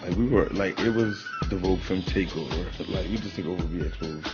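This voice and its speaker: A young American man in his twenties with a low voice tells casual anecdotes. He sounds like a guest settled into a radio studio conversation. Faint music runs underneath him. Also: brisk, highs cut off. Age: 20s.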